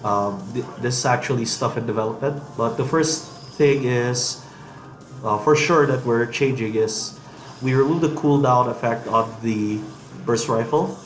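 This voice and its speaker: Male dev with a head cold, mid pitch, hesitant gameplay commentary, echoey room with faint hum. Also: unhurried. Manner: hesitant, many ums and restarts. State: has a cold. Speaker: game developer demoing gameplay. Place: office.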